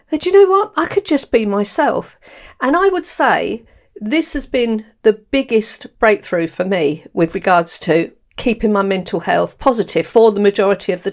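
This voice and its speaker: A woman's voice: medium pitch, gravelly. An older woman with a London accent hosting her podcast, talking candidly with frequent laughs. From London, England. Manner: candid, animated, laughs often. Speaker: podcast host. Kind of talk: personal monologue.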